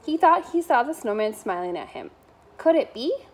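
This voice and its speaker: A woman's voice: on the high side, very animated, normal speed, darkish tone, slight background noise. A woman reading a children's bedtime story aloud as a member of school staff.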